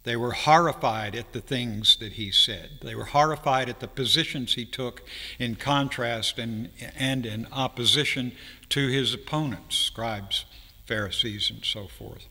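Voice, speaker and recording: Slow, deliberate older preacher in echoing hall. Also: male voice, mid-range voice, pushed and bright. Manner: deliberate, halting phrasing with pauses mid-sentence. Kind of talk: sermon, explanatory teaching. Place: church sanctuary, speaking from a pulpit. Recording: reverberant hall, long echo.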